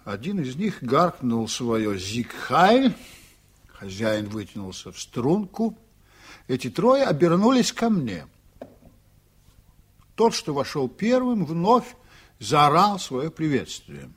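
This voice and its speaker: An actor playing a proud, stubborn, reflective ship's captain in a Soviet radio drama, telling things unhurriedly with dramatic pauses. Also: male voice, fairly high pitch, very animated, breathy edge, full character voice. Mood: vivid, indignant.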